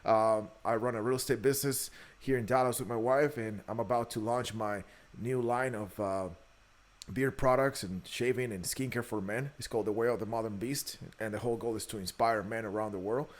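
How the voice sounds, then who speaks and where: A man's voice: fairly low pitch, steady tone, fairly quick. A man in his early thirties from Guatemala, a native Spanish speaker with a Guatemalan accent, telling his story candidly as an interview guest, often repeating words as he goes. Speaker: podcast guest, entrepreneur. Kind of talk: conversational interview, personal storytelling.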